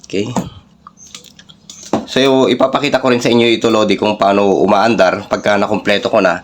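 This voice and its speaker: A Filipino man with a fairly low voice walks viewers through a hands-on tech demonstration. His delivery is informal and explanatory, with halting phrases. Faint background noise sits behind his voice. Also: fairly even intonation. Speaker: vlogger, radio hobbyist.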